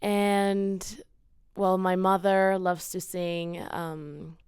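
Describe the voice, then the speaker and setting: Unhurried, clear young woman from Mexico. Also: lowish voice, level tone, darkish tone, dry recording, studio quality. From Cancun, Mexico. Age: late teens. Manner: enthusiastic, earnest. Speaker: podcast guest, student singer-songwriter. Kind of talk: casual interview answers.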